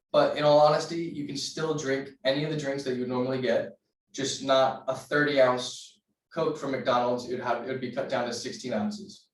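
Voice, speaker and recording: Earnest young man, distant roomy mic. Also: medium pitch. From United States. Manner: earnest, cites figures, occasional restarts. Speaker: student giving a class speech. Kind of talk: persuasive classroom speech.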